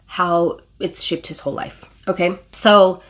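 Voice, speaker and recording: Woman, 40s, book vlogger, stuffy-nosed and a bit low-pitched, earnest and emotional, casual. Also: fairly even intonation, a little slow, fairly bright tone. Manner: earnest, emotional, talks with fillers and self-corrections. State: congested, recovering from illness. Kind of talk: casual reading vlog.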